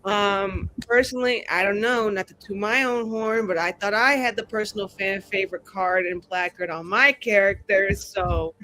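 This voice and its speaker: A woman's voice: medium pitch, normal speed, hardly any pauses, bright, forceful tone, rough recording. A woman playing in a tabletop show, chatting casually with playful, sarcastic, swearing delivery over her character. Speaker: player on an actual-play tabletop show.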